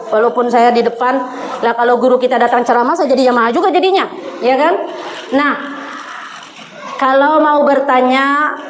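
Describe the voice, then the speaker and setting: A woman in her forties preaching to a women's congregation in a conversational way, often addressing her listeners directly. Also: on the high side. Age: 40s. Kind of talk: sermon to a women's congregation, with recited Arabic quotations. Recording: echoey room, faint background noise.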